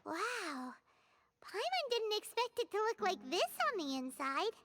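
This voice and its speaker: A woman voicing a tiny, childlike, chirpy fairy companion in a video game, squeaky and talking about herself in the third person. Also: sing-song, a little slow, background noise, full character voice. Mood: wondering. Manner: refers to self in third person. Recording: game music behind the voice.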